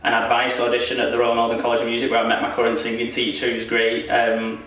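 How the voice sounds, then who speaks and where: Fast-talking young man, echoey. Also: mid-range voice, monotone, fairly bright tone. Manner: rambling, self-deprecating, laughs often. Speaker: wrestler interviewee. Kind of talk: casual interview chat. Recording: echoey room, voice from far off.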